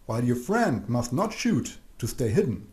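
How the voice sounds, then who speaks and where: A man's voice: medium pitch, very animated, darkish tone. Man narrating a tutorial, roomy echo. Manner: measured, didactic, addresses the listener directly. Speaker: narrator of an instructional game video. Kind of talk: scripted explanatory narration. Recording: roomy, echoing voice-over.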